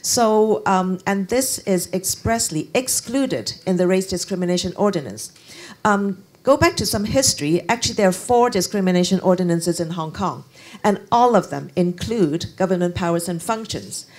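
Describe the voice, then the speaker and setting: A middle-aged woman gives an explanation on a conference panel. Her voice is a little low. She talks haltingly into a handheld microphone, repeating words as she searches for the next. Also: animated, a little mellow. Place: conference function room, indoors.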